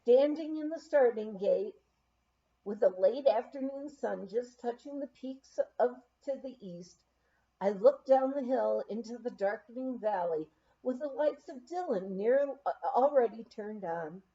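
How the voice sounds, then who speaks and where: A woman's voice: on the high side, animated, unhurried, warm tone. A woman reading a memoir aloud as an audiobook narrator, on a slightly dull recording. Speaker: audiobook reader.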